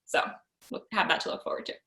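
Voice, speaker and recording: A woman's voice: quick pace, fairly bright tone, roomy sound, poor audio. A young woman, a magazine writer, answering questions in a chatty, informal, self-deprecating way. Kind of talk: casual question and answer chat.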